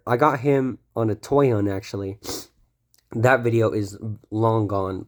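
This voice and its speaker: A man's voice: fairly low pitch, darkish tone. A young man casually showing off his toy collection, rambling with lots of ums and self-corrections, his voice a bit stuffy from a cold. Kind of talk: casual show-and-tell of a collection.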